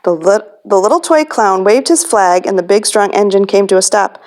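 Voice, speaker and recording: A woman's voice: medium pitch, normal speed, a little mellow. A woman hosting a children's storytime as a librarian, reading a picture book aloud.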